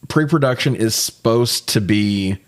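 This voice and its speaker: A man's voice: lowish voice, unhurried. A man co-hosting a casual movie review chat, griping with plenty of swearing. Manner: profane, animated griping. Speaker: podcast co-host reviewing movies.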